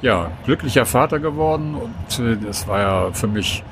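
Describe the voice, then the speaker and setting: A man's voice: medium pitch, animated, normal speed, noisy background. A man in his sixties, a guest, talking candidly and thoughtfully about late fatherhood in casual conversation on a train. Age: 60s. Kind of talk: casual chat.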